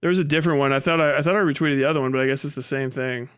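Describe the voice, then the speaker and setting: A man casually chatting and reacting on a livestream, with halting, slangy, profane speech. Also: mid-range voice, fairly even intonation, fairly bright tone, smooth. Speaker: livestream host.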